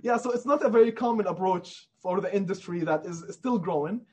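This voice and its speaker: Male indie developer, high-pitched and slightly raspy, earnest and emphatic in a conversational interview. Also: a little fast, darkish tone. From Middle East.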